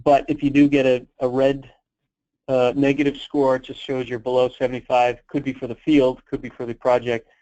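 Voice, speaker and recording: A man's medium-pitched voice comes over a dull, lo-fi conference line. He keeps a flat, even intonation as he fields questions. His delivery is unscripted and halting, in the explanatory manner of a program briefing. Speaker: presenter answering callers' questions on a conference call. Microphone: telephone conference call.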